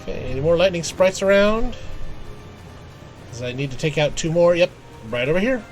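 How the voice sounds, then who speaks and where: A man giving casual live game commentary, thinking aloud in halting fragments as he plays. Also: a little high, expressive, soft background music. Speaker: game streamer.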